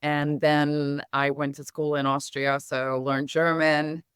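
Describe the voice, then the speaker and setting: Smooth-voiced middle-aged woman, American accent. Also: low voice, level tone, no echo, clean studio sound. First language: Hungarian. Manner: measured, reflective storytelling. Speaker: guest, company founder. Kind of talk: podcast interview.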